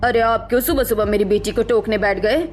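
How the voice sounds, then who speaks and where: A woman's voice: fairly high pitch, brisk, natural voice. A woman acts out an indulgent middle-aged cartoon mother. She speaks fondly and protectively, drawing out her words a little. Faint music is heard behind her. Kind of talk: animated cartoon story acting.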